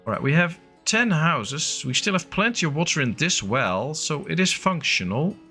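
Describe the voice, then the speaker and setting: A man's voice: on the high side, big pitch swings, normal speed, bright, forceful tone, soft background music. A man who is not a native English speaker, streaming a game and chatting casually with viewers, full of fillers. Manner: chatty, answering chat with frequent fillers. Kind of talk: casual live-stream chat while playing.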